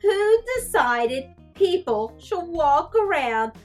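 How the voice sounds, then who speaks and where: A woman reading a storybook aloud as a sassy, easily grossed-out young female cartoon character, with exaggerated, drawn-out disdain. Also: extremely high pitch, very animated, a little mellow, faint music behind, heavily acted. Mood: disgusted. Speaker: teacher reading a picture book aloud. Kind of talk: animated children's storybook read-aloud.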